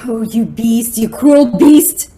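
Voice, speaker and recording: A woman acting an excitable, thrill-seeking, easily frightened young woman in a melodramatic, girlish radio drama style. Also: on the high side, takes it slowly, music in the background. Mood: distressed.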